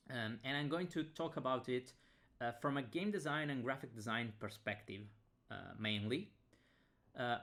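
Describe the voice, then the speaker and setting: A man with an Italian accent, Italian his first language, talking through an unboxing on a webcam, with many pauses and fillers. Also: mid-range voice, fairly bright tone. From Italy. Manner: hesitant, many fillers and restarts. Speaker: game designer reviewing a game. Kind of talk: casual unboxing talk.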